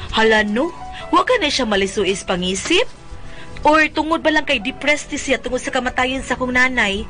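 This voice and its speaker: Casting: fretful grown Cebuano daughter, high sharp voice, comic radio drama, light music behind. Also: female voice, animated, normal speed. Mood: worried narrating. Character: exasperated adult daughter of a dying-obsessed father.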